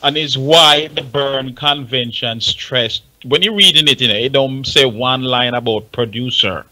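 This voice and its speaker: A man's voice: mid-range voice, measured pace, pushed and bright, rough recording. A man with a Caribbean accent making his case emphatically in a panel discussion, repeating points and asking rhetorical questions. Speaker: talk show panellist on music business.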